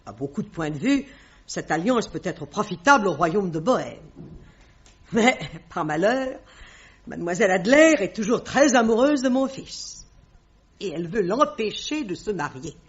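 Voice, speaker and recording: A woman's voice: medium pitch, sing-song, close to an everyday voice. An actress playing a proud middle-aged queen mother in a French radio drama, speaking formally and haughtily on a dull old recording. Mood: grave, confiding. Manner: haughty, formal, measured diction.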